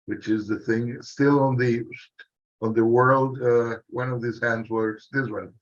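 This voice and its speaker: A man, a filmmaker and animator, introducing his work on a panel in a halting way with restarts. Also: mid-range voice, steady tone. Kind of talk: casual panel self-introduction. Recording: muffled lo-fi call audio.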